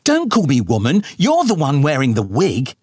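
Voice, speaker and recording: An indignant, eccentric mother in a comic audiobook scene, with a man putting her on. The voice sits noticeably higher than his own, louder and pushier, and it sounds husky. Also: huge pitch swings, normal speed, no echo, studio quality. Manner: indignant, squabbling. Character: bickering eccentric middle-aged mother.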